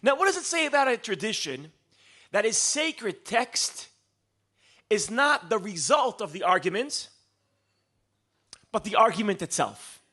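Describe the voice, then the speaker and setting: A man from Israel giving a live lecture as a storyteller, posing rhetorical questions and pausing for dramatic emphasis. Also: sits high, big pitch swings, pushed and bright. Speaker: public speaker, lecturer.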